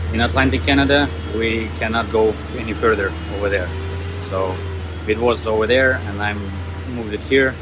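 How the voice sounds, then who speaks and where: Russian-accented man, halting, loud truck noise. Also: mid-range voice. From Kazakhstan. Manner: halting, searching for words in a second language. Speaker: truck driver vlogger. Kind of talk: casual vlog narration. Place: at a truck yard and in a truck. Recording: loud vehicle and outdoor noise.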